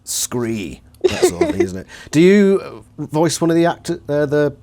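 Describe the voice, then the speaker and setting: A man's voice: fairly high pitch, huge pitch swings, moderate pace, dark tone. Man conducting a casual interview.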